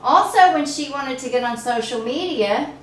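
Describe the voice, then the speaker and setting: A middle-aged woman telling family stories in a chatty, animated way as a regular on a family channel. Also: high voice, moderate pace. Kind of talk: casual storytime chat. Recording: echoey room with faint noise.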